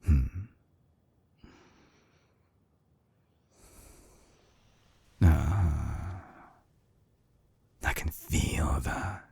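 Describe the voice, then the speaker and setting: An actor playing a suave, obsessive villain scientist in an intimate binaural audio drama, teasing and menacing, with a husky voice that slips into breathy whispers. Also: male voice, rumbling low, a little mellow, full character voice. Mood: possessive, menacing. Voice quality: husky, gravelly. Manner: slow, theatrical, teasing, sliding into breathy whispers. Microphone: close binaural microphone.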